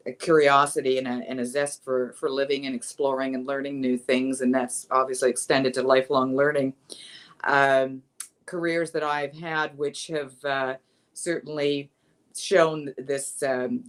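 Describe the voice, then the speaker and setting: A woman in her sixties, a guest author in an interview, speaking thoughtfully and hesitantly with many fillers. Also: a bass voice. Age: 60s. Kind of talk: interview answers.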